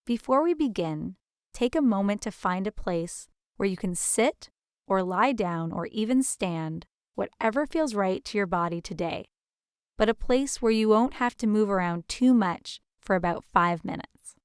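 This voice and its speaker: A woman guides a meditation at a slow, unhurried pace, with a gentle and soothing manner. Her voice is clear and smooth at a medium pitch, and she leaves deliberate pauses between soft invitations. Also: animated, no echo, clean studio sound.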